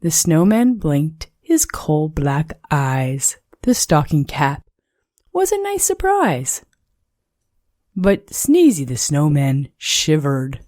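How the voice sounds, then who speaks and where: Woman reading a kids picture book, slow n very animated pitch, close dry recording. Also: no room sound. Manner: measured, expressive, read-along delivery. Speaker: storybook narrator. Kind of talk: expressive scripted children's story narration.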